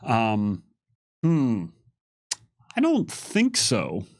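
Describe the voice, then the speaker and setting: Halting man thinking aloud, dry sound. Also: mid-range voice, huge pitch swings, no echo. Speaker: game developer answering fan questions on a stream. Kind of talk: casual unscripted Q&A talk.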